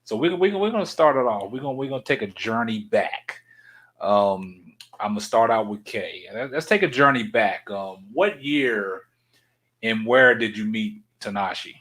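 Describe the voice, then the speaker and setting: A man with a low, bright voice hosts a relaxed conversation. He talks casually, drops filler phrases and steers the questions along. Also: moderate pace. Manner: easygoing, full of "you know"s. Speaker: podcast host. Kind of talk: casual chat.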